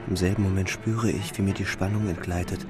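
A man playing an exhausted, brooding German soldier speaking his inner thoughts in a radio play, with a creaky voice. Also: deep voice, steady tone, fairly quick, over music, natural voice. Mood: frightened, tense. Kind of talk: introspective radio play monologue.

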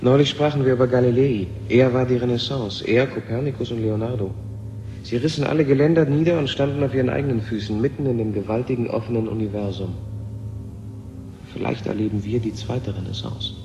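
A man's voice: medium pitch, brisk, warm tone, highs cut off, faint music behind, lightly acted. An actor playing a brooding, intense male physicist in a German radio drama from 1970. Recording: echoey hall, noisy old radio recording.

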